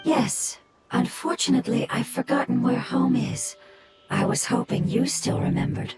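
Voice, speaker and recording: Woman as a high-voiced, wise, formal fungus being. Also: lightly acted. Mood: regretful. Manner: formal, deliberate, solemn. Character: wise, courteous ancient fungus creature speaking formally. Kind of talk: scripted video game voice acting. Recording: loud game music behind.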